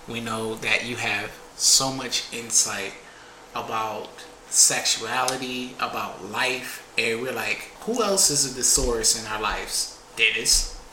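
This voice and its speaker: A man's voice: mid-range voice, takes it slowly, roomy sound, background noise. A man co-hosting a podcast, chatting casually, teasing the guest and cheering him on. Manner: teasing, affirming backchannels. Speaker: podcast co-host.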